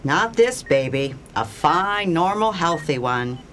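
A woman playing a brisk, reassuring medical attendant at a birth in a radio play. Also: low voice, lively intonation, fairly bright tone, slight background noise, exaggerated voice. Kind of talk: radio drama acting.

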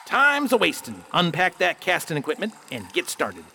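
An actor playing a suspicious, curt outlaw gang boss in a western radio drama. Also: male voice, a little high, huge pitch swings, normal speed, creaky, sharp tone, slight background noise, full character voice. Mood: businesslike. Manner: curt, commanding.

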